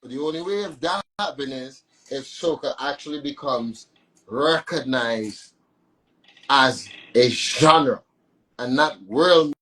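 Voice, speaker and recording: A man's voice: medium pitch, takes it slowly, bright, forceful tone, rough recording. A man with a Vincentian accent, a soca artist chatting in an interview and repeating words for emphasis. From Saint Vincent. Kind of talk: casual interview chat.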